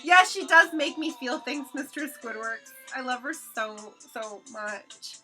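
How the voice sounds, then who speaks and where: A woman's voice: sits high, fairly even intonation, measured pace, music in the background. A young woman hosting a painting livestream, chatting casually with viewers and reading out their comments, swearing freely and laughing often; her first language is Hebrew. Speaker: livestream host. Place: home studio while painting.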